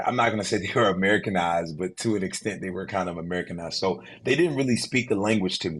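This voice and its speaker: A man in his thirties, guest on a podcast interview, telling his story casually with many fillers and restarts. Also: sits low. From United States. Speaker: guest, insurance agency owner.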